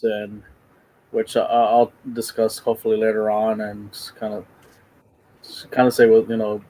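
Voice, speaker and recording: A man's voice: medium pitch, rough recording. A man, co-hosting a casual soccer talk and often repeating words as he starts. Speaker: co-host.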